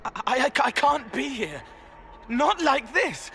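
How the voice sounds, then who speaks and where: A man playing an anxious, fragile young spirit boy in a video game, halting and haunted as he speaks. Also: very high voice, big pitch swings, gravelly, fairly bright tone, slight background noise, exaggerated voice. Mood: distressed, fearful. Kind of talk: dramatic video game acting.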